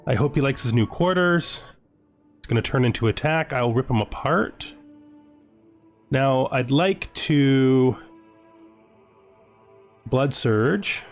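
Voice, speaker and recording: A man giving casual video game commentary, thinking aloud as he deliberates his choices. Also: medium pitch, expressive. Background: music.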